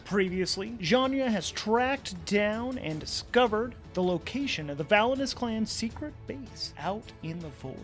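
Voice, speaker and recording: A man's voice: sits high, fairly bright tone. A man narrating and commenting as host and player of a solo tabletop role-playing game, conversational and enthusiastic.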